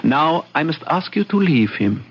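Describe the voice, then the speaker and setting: An actor playing a coolly controlling boss with a creaky, husky voice and formal, deliberate delivery in an old radio drama. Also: male voice, a little high, very animated. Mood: cool, firm. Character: coolly menacing, controlling male company boss. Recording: muffled vintage radio recording.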